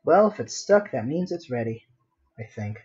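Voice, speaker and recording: A man's voice: mid-range voice, big pitch swings, normal speed, a little mellow, lo-fi sound. A man thinking aloud casually as he sketches on a live stream, muttering to himself with little sing-song filler noises. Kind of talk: casual thinking-aloud commentary while drawing.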